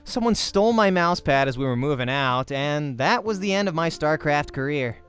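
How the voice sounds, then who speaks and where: A man voicing a cocky, jokey young male pro gamer in a visual novel, with a breezy, teasing, animated delivery. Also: a little high, normal speed, smooth, faint music behind. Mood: wry storytelling.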